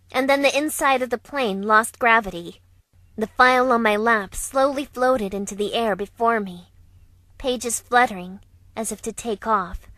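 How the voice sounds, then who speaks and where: A woman's voice: a little high, a bit bright, dry recording. A woman playing a composed, caring young woman who narrates her own story in a visual novel fan dub.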